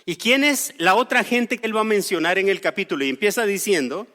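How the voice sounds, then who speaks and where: A man's voice: sits high, expressive, brisk, bright, forceful tone, in a large hall. A man from Costa Rica preaching a sermon, explaining scripture with personal stories and light humor. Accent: Costa Rican Spanish. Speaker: pastor. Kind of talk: sermon with storytelling.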